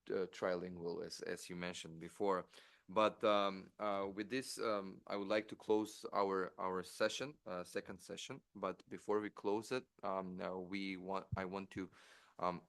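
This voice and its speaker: A man closes a conference session and opens the floor to questions. He talks haltingly, with many fillers, in a very low voice. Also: fairly bright tone. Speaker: session moderator.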